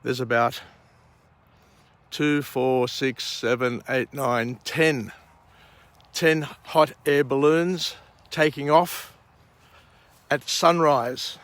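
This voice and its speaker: A middle-aged Australian man with a croaky voice after a night out, hosting a rambling outdoor morning vlog and book summary at a vineyard. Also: medium pitch, steady tone, fairly bright tone, no room sound. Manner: slow, rambling, frequent uh and um. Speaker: vlog host.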